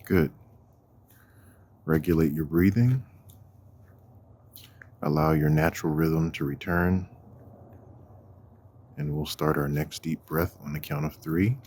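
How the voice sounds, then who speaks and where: Deep husky guy guiding a walking meditation, really slow, lots of pauses. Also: darkish tone. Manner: deliberate, measured, with long pauses. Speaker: meditation guide, counselor. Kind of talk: guided walking meditation narration. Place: outdoors on a walk.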